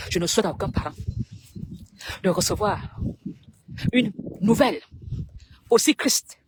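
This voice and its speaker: A woman with a Cameroonian French accent making an impassioned public appeal, speaking emphatically in short phrases with dramatic pauses. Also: mid-range voice, slight background noise.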